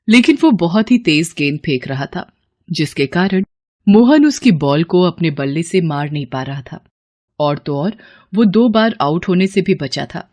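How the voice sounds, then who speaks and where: A woman's voice: low-pitched, darkish tone, dry recording, clean studio sound. A woman narrating a scripted horror story for children as a storyteller.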